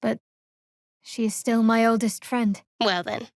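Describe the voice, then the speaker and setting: A woman playing a guarded, sardonic young cleric of a dark goddess in a video game, speaking in a dry, measured, wry way. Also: a little high, level tone, vocal fry, dry recording. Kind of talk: video game character voice acting.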